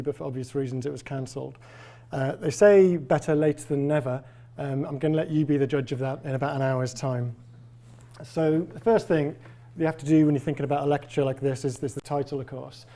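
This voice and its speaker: A man's voice: a little high, dark tone. A man in his forties from Wales with a faint Welsh accent, giving a public lecture as a professor in a wry, self-deprecating, conversational way. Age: 40s. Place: lecture hall with a live audience.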